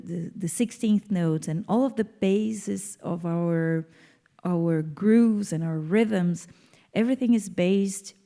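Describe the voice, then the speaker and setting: Brazilian-accented woman with a mellow voice. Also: fairly low pitch. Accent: Brazilian Portuguese. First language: Portuguese. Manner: thoughtful, explanatory, with small restarts. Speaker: guest musician, vocalist. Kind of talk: onstage interview.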